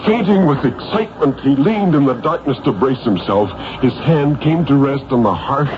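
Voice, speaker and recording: A man's voice: medium pitch, lively intonation, moderate pace. A man narrating a horror story in a theatrical, suspenseful 1950s radio style. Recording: noisy, muffled 1950s radio recording.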